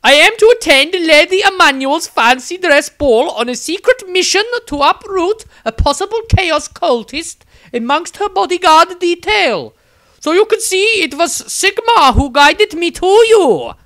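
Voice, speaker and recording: A man's voice: very high-pitched, lively intonation, measured pace, bright, forceful tone, pitched above the performer's own voice, exaggerated voice. A man voicing an overbearing, amorous, zealous nun in an audio story, gushing and cooing with great emphasis. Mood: gushing, devout.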